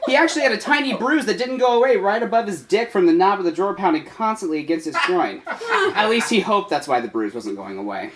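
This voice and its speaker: A woman storyteller performing a comic reading at a live show. Her delivery is animated and a bit tipsy, with the odd stumble. The recording is noisy. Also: medium pitch, quick pace. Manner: tipsy, stumbles over words. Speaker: storyteller in a comedy troupe.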